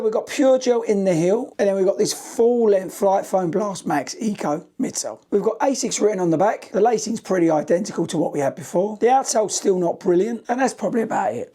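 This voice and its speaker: Croaky hoarse British running coach. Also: male voice, high voice, mellow. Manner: chatty, cheeky, direct to viewers. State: hoarse, nearly lost voice. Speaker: running coach reviewing shoes. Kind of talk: casual shoe review to camera.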